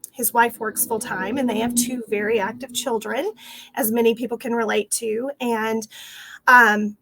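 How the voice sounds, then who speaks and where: A woman's voice: on the high side, rough recording. A middle-aged woman with a Texas accent, a franchise owner telling her story in an interview, earnest and rambling with fillers and restarts.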